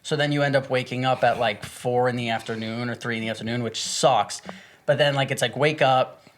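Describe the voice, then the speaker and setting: A man's voice: medium pitch, steady tone, fairly quick. A man chatting casually as a podcast guest, telling candid, self-deprecating stories and swearing freely. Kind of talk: casual comedic chat, candid anecdotes.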